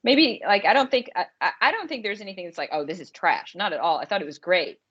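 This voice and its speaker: A woman's voice: medium pitch, expressive, fast talker, breathy edge. A woman co-hosting a podcast, talking through a film casually and conversationally, rephrasing as she goes. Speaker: podcast co-host. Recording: slightly dull, muffled sound.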